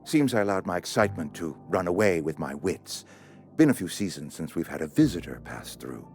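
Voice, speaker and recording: A pompous administrator, swooping pitch. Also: male voice, sing-song, fairly quick, darkish tone, faint music behind. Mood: apologetic, officious. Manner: formal, wordy, officious. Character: pompous, courteous male company outpost administrator. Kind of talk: video game character acting.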